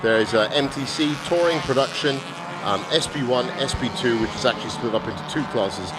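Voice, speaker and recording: Man, slightly hoarse, live motorsport commentary, chatty and enthusiastic, very noisy trackside with vehicles. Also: medium pitch, brisk. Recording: loud engine and outdoor circuit noise. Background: cars and trackside ambience.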